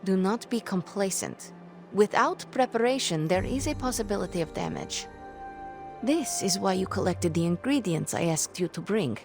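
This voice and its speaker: A woman's voice: medium pitch, sing-song, moderate pace, gravelly, a little mellow. Strict young witch teacher in a game, formal n clipped, faint music under. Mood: stern. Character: stern, impatient young sorceress ritual mentor. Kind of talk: video game voice acting.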